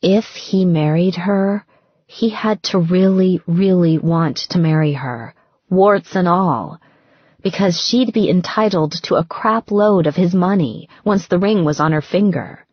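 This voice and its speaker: Low, slightly husky woman narrating fiction. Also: unhurried, dry recording, lo-fi sound. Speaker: audiobook narrator. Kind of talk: scripted fiction narration, romance novel reading.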